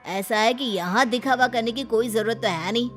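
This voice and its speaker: A woman's voice: a little high, normal speed, soft background music, natural voice. A woman voicing an earnest, frustrated young man in a cartoon family story, pleading and indignant on his mother's behalf.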